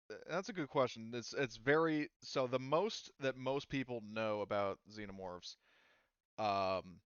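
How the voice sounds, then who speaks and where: A man acting as game master, narrating a tabletop game casually and haltingly as he thinks aloud. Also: mid-range voice, a bit bright, smooth, no echo, lo-fi sound.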